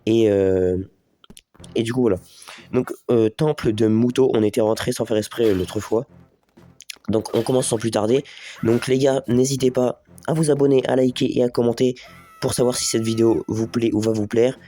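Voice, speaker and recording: A man's voice: mid-range voice, steady tone, normal speed, warm tone. A man with a slight head cold doing casual let's play commentary, thinking aloud and talking straight to his viewers. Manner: chatty, thinks aloud, talks to viewers as les gars. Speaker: gaming video host.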